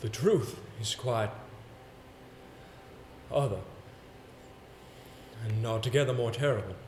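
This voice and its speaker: Echoey, haunted gentleman storyteller played by a man. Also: mid-range voice, lightly acted. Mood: agitated, haunted. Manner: deliberate, dramatic phrasing with halting repetitions. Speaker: stage actor. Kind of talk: theatrical stage monologue and dialogue. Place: indoor theatre stage, recorded live. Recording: echoey stage recording with background noise.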